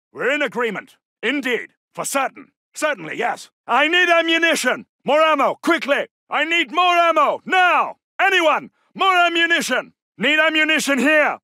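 An actor playing a brisk, gallant British Second World War soldier in a video game, with a clipped, commanding and formal manner. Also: male voice, very high-pitched, bright, forceful tone, no room sound, studio quality, exaggerated voice. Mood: calm, polite, then urgent, pleading. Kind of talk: military game battle barks.

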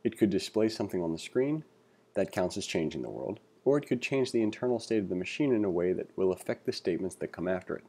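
A man giving a read-along coding tutorial, reading aloud and thinking out loud with informal asides. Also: low-pitched, animated, fast talker. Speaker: instructor.

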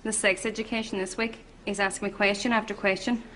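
A woman's voice: mid-range voice, flat delivery, quick pace, fairly bright tone, natural voice, poor audio. A woman playing a flustered, put-upon Irish mother in a sitcom. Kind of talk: sitcom acting.

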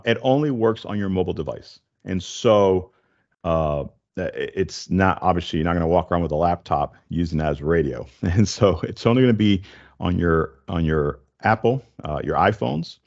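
A man in his forties hosting a casual tech explainer, speaking in a halting, stop-and-start way into a close desk microphone. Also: sits low, dull audio. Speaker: tech show host. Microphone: desk condenser microphone, close, with headset.

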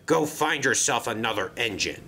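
A man's voice: mid-range voice, lively intonation, moderate pace, pushed and bright, slight background noise. A man voicing a grumpy, blunt steam engine who grumbles and grunts in a children's railway story. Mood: grumpy, sullen. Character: grumpy, gruff saddle tank engine, disinterested and blunt.

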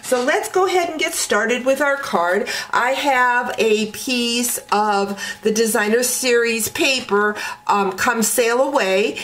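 A woman teaching card making step by step at her craft table, talking in a relaxed way with many ums and uhs. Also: medium pitch. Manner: slow, relaxed talk with frequent ums and uhs.

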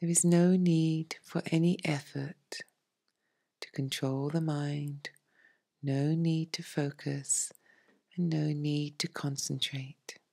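Woman, meditation guide, husky breathy tone, slow delivery, soothing, near-whispered, pausing often. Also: low-pitched, fairly even intonation. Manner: soothing, hushed, measured phrasing with long pauses. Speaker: yoga nidra meditation teacher.

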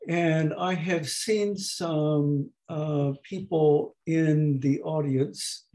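A man in his eighties lecturing slowly over a video call, with a hoarse, gravelly voice that is not fully distinct and that often pauses and restarts. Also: on the high side, fairly even intonation, mellow. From United States. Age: 80s. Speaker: professor emeritus giving a talk. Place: home room at a desk. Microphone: webcam on a video call.

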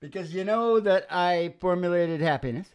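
A man's voice: high voice, moderate pace. An older man hosting a radio music show, speaking into a stand microphone in joking, rambling banter with a wry manner. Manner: wry, jokey, chuckles often. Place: small dim indoor room with wood-panelled wall. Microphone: microphone on a stand, close.

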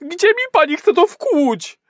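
A man's voice: very high voice, very animated, slow, a bit bright, no room sound, studio quality, heavily acted. An actor playing a nervous, awkward first-time male patient in an audio drama, hesitant and sheepish. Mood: nervous, wary.

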